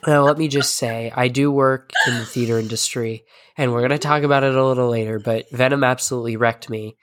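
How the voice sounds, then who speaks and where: A man hosting a casual podcast, hoarse and stuffy from a sinus infection, chatting in a rambling, self-deprecating way. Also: medium pitch, steady tone, brisk, a bit bright, no room sound, clean studio sound. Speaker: podcast host.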